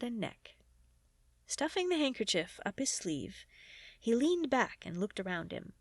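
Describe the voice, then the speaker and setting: A woman narrating a story as an audiobook reader, in an expressive, animated storytelling manner. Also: a little high, no echo, clean studio sound.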